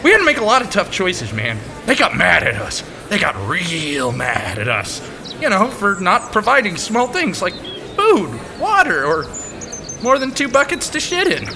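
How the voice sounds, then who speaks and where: A man's voice: sits high, wildly swooping pitch, moderate pace, noisy background, heavily acted. A man playing a defensive, sheepish slacker making excuses in a comedic audio drama.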